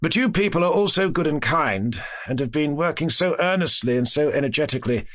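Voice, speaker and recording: A man's voice: fairly high pitch, expressive, brisk, fairly bright tone. A man voicing a humble, earnest young lord in grief, as dialogue in a gothic audiobook.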